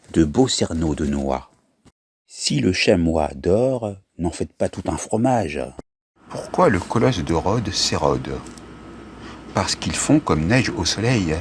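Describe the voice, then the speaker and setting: A man telling pun jokes in a slow, improvised comedy monologue, laughing at his own lines. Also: low-pitched, slight background noise.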